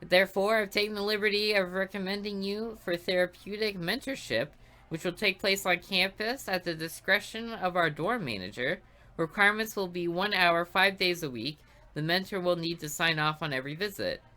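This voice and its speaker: A prim schoolteacher scolds a pupil in a clipped, formal manner, as a woman reads her lines. The voice is a touch lower than usual and strongly forceful. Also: normal speed. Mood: scolding. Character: stern strict female schoolteacher.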